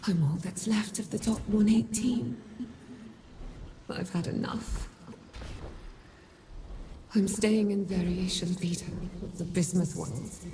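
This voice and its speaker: A woman's voice: medium pitch, fairly even intonation, slow, pauses often, background noise, natural voice. A woman playing a weary, resigned last survivor leaving a recorded message in a video game, sounding worn down. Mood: resigned, exhausted.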